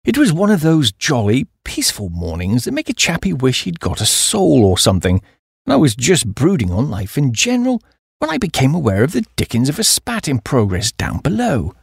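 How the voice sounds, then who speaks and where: A British man reads a comic audiobook with breezy upper-class ease. His pitch swoops widely, the voice is a little husky and creaky, and he sounds like a chappie telling his own tale. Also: wildly swooping pitch, fairly quick, no room sound, clean studio sound. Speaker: audiobook narrator. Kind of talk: comic first-person story narration.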